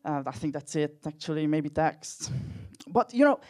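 A man's voice: fairly high pitch, expressive, moderate pace, darkish tone. A young man with a Hungarian accent doing a dry, self-mocking stand-up comedy set with crude jokes. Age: young adult.

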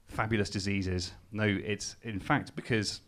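A man's voice: on the low side, steady tone, unhurried, fairly bright tone. A young man from Newcastle with a Geordie accent, performing a stand-up comedy set with a casual, self-mocking, hesitant delivery full of ums. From England. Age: young adult. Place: live stage before laughing audience.

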